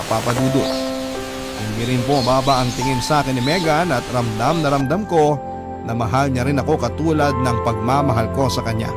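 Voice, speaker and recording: A man narrating a love-story letter in an earnest, polite, confessional way, in the manner of a radio drama. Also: medium pitch. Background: music.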